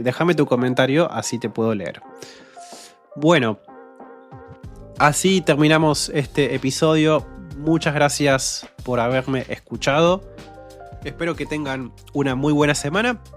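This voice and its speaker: A young man from Patagonia with a neutral Rioplatense Argentine accent and a uvular R that sounds French. He hosts a podcast, reading listener comments in a relaxed, hesitant way full of fillers. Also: medium pitch, faint music behind. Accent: neutral Rioplatense Argentine Spanish, uvular French-like R. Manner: relaxed and hesitant, many fillers, speaks slowly for learners. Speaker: podcast host and Spanish teacher.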